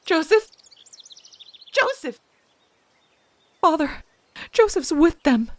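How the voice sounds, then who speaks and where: A woman's voice: very high-pitched, big pitch swings, slow, full character voice. A woman playing an anxious, emotional young daughter with an exclamatory manner in an old-time radio drama. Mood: excited.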